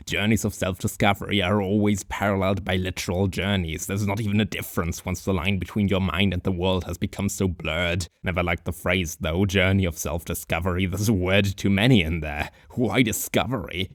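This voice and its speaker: A deep-voiced, rambling old drunk of a hobo sage, voiced by a man, holding forth in a slow, musing monologue. He chuckles dryly between his thoughts, and the sound is close and dead-dry. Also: no room sound, lightly acted.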